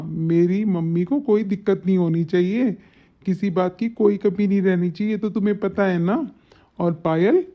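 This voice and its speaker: A woman voicing a devoted, protective adult son in a melodramatic family cartoon, with an emphatic, insistent manner. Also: close to an everyday voice. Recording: dull, low-fidelity sound.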